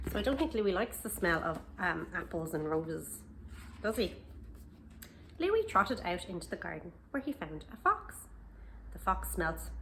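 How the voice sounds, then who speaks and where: Storytime reader: woman, highly animated pitch, expressive emphasis, questioning asides to kids, faint background noise. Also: poor audio. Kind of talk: children's picture-book read-aloud with asides to young listeners.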